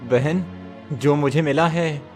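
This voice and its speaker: A man's voice: fairly high pitch, slow, darkish tone, faint music behind. A man voicing a poor, simple young goatherd in a cartoon story, earnest and easily amazed.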